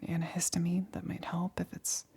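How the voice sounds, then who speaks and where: A woman playing an anxious, lonely female lab assistant in an ASMR roleplay, whispering softly and intimately with halting, hesitant stammers. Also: lowish voice, completely flat delivery, exaggerated voice. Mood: nervous, uneasy. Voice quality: breathy, whispered.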